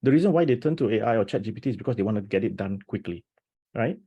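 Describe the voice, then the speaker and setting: A man's voice: fairly low pitch, slightly rough, muffled recording. A man presenting as a teacher on a video call webinar, talking with energy and many restarts, often checking in with "right?". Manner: animated, talks fast with many restarts and rhetorical "right?". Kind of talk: enthusiastic webinar talk.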